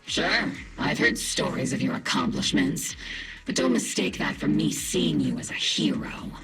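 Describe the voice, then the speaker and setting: A woman playing a gruff, seasoned military captain in a video game, with a gravelly voice and a dry, guarded, authoritative manner. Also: low-pitched, huge pitch swings, a little slow, heavily acted, rough recording. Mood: guarded, stern. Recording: music behind the voice.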